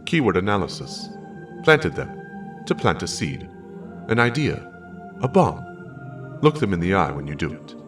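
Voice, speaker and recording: A man's voice: low-pitched, sing-song, unhurried, long pauses, exaggerated voice. A man voicing an impassive message machine that announces transcripts and analysis in terse, official fragments. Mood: impassive, procedural. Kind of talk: scripted sci-fi system announcements. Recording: distant voice over music.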